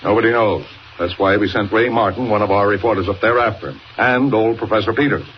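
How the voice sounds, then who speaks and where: An actor playing a brisk, urgent newspaper boss in 1940s radio style, speaking with emphatic authority. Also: male voice, fairly low pitch, fairly even intonation, lightly acted. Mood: grave, urgent. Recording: muffled, noisy 1940 radio recording.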